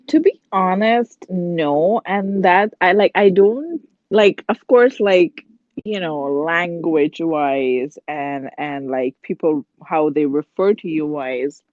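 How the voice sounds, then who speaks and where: A woman's voice: on the low side, slow pace, no room sound. A young woman from India, a podcast guest, talking casually with lots of "like" and "um" and laughing easily. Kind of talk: casual chat. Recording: dull lo-fi dry sound.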